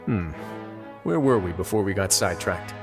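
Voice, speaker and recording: An actor playing a composed, authoritative prison administrator in a video game, measured and coolly philosophical. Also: male voice, low-pitched, expressive. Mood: casual, assured. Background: game music.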